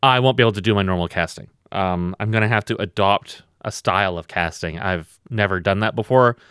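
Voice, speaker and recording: A tired man with a loud voice, co-hosting a podcast in a blunt, rambling, swearing chat. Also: on the low side, a little fast, smooth, no echo, studio quality. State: very tired from lack of sleep. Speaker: podcast co-host.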